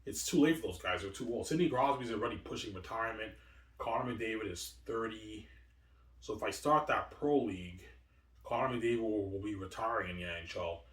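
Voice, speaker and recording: Guy in his 40s rambling on and on, kinda low voice, stumbles a lot, sounds far off in an echoey room. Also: fairly quick, a bit bright. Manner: repetitive, stumbling, answers his own questions. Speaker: video host. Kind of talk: rambling monologue.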